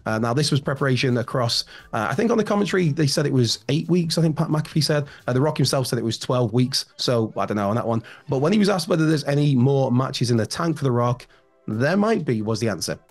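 A man in his thirties hosting a solo wrestling news show, his voice slightly hoarse and raspy, chatty and rambling with fillers and asides, recorded at home on a studio mic. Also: medium pitch, animated, fast talker. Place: home room with shelves, indoors. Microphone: large studio mic in front, headphones.

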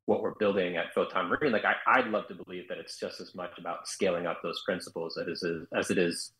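Man, very low pitch, roomy echo, hesitant, guest telling his story. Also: fairly even intonation, fast, a bit bright. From South Florida. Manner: hesitant, many restarts and fillers. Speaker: guest entrepreneur. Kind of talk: interview answers.

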